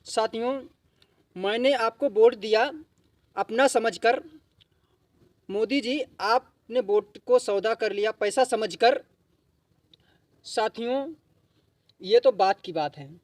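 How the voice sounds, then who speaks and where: A man at a public gathering, addressing the crowd and singing protest verses in a slow, repetitive, chant-like refrain. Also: high voice, no echo. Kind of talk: sung protest verses with short spoken lead-in. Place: public gathering with a seated audience.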